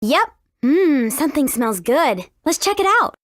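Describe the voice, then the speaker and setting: A woman's voice: high-pitched, sing-song, unhurried, no room sound, studio quality. A woman voicing a spirited teenage girl guide in a video game, confident and explanatory. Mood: bright, determined. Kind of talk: English game dub, tutorial dialogue.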